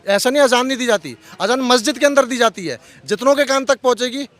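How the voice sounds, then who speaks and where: A man's voice: extremely high pitch, fast, a bit bright, dry recording. A man being interviewed outdoors in a heated street debate, arguing with repeated phrases and rhetorical questions.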